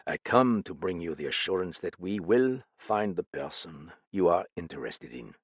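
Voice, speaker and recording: Deep, quiet, soothing detective, male reader, dry close read. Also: lively intonation, moderate pace, breathy edge, no room sound. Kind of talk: audiobook character voice.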